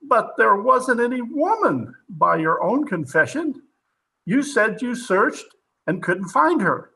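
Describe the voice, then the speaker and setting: An actor playing a hard-nosed, sarcastic police captain in an old-time radio drama, interrogating forcefully and full of disbelief. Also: male voice, high voice, sing-song, slow, creaky, slightly rough, full character voice, rough recording.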